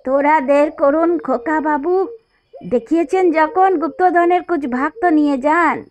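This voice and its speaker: A woman's voice: high voice, unhurried, a little hiss or hum. A woman voicing a sly, deferential rustic man in an audio story, with a Hindi-influenced Bengali accent.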